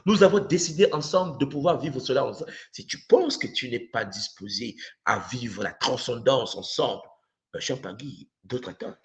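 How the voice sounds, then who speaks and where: A man's voice: medium pitch, normal speed. A man with a Congolese accent giving an impassioned spiritual lesson, using rhetorical questions and repeating himself for emphasis. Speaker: spiritual teacher. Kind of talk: impassioned spiritual teaching to an online audience.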